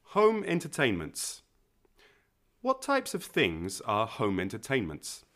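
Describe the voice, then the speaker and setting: Male teacher voice, scripted lesson questions, very animated pitch. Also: takes it slowly.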